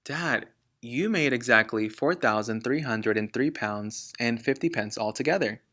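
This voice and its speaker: A gentle, clever little storybook girl, as a male reader plays her. She speaks quietly and politely, setting out each point with calm precision. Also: medium pitch, unhurried.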